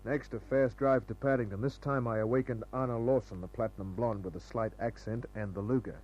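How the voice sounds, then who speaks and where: Muffled old radio noir, actor as husky wry private eye, clipped and cool, tough guy narration. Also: medium pitch, steady tone, a little fast, a little mellow, lightly acted. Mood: wry, terse. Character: wry, hardboiled male private detective, cool-headed.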